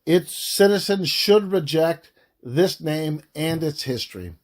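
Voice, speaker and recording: A man reading a historical book aloud as its narrator, with deliberate, emphatic delivery in short halting phrases. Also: fairly high pitch, expressive. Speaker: audiobook reader, author of the book.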